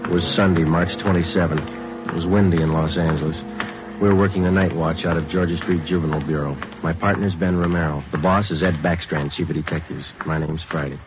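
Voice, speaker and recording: An actor playing a terse, deadpan male police detective sergeant in a vintage radio crime drama. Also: low-pitched, brisk, over music, natural voice. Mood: matter-of-fact. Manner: clipped, matter-of-fact, deadpan. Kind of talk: 1940s-50s radio police drama acting and narration. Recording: noisy muffled old radio recording with clicks.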